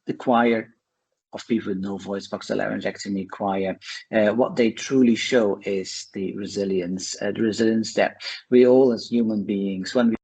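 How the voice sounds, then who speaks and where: A man from Belgium with a Flemish accent, a Dutch speaker, talking as a guest in an interview, pausing often with fillers. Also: lowish voice, fairly even intonation, a little mellow, breathy edge, rough recording. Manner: hesitant, many uh and um fillers. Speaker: guest, charity founder. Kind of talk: conversational interview.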